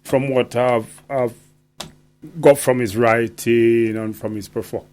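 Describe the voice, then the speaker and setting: A man talking as a poet guest on radio, deliberate and emphatic, often checking he is understood. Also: mid-range voice, fairly even intonation, a little mellow, smooth. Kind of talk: conversational radio interview.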